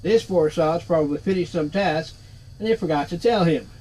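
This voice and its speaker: A man's voice: fairly high pitch, moderate pace, darkish tone, natural voice, poor audio. A man voicing a sardonic, wisecracking floating skull in a cheeky, sarcastic manner, reading game dialogue aloud. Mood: knowing, dismissive. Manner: cheeky, sarcastic, street-talking.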